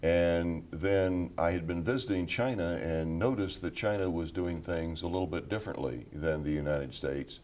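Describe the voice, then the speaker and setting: A man's voice: deep voice, normal speed, hardly any pauses, darkish tone, slight background noise. An older man on a studio panel, reflecting on what drew him to his research, with fillers. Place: television studio set. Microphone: lapel mic.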